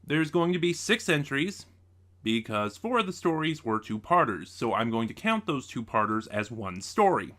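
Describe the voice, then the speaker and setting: Bright, forceful-voiced man reviewing casually. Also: a little high. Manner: conversational, opinionated. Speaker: video reviewer and channel host. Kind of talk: casual opinion review to camera.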